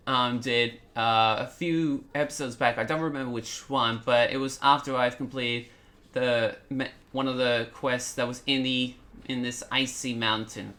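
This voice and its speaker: A man's voice: mid-range voice, sharp tone. A man hosting a gaming video with casual commentary, stumbling over words and reading dialogue aloud.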